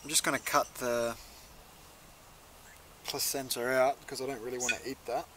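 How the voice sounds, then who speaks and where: A man's voice: mid-range voice, level tone, moderate pace, background noise. A young man giving a casual, laid-back review to camera in a conversational way. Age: young adult. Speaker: chilli reviewer, video host. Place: outdoors in a leafy garden.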